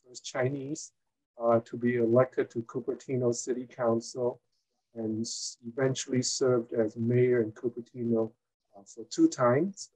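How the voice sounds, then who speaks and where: Man, 60s, interview guest, slow pace, mid pitch, measured, hesitant pauses. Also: a little mellow, low-quality recording. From Hong Kong. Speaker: guest, former mayor and retired college teacher. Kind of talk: interview answer, recounting his career.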